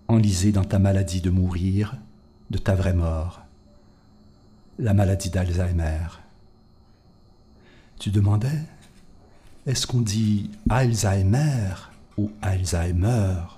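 A man giving a slow, solemn reading of his own poetry, sometimes dropping to a hush. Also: low-pitched. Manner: measured, solemn, hushed in places. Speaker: poet reading his work.

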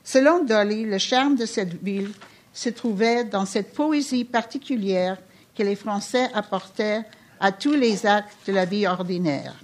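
A woman author reading an excerpt of her novel aloud in French, which is not her first language, steady with small restarts. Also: mid-range voice, measured pace.

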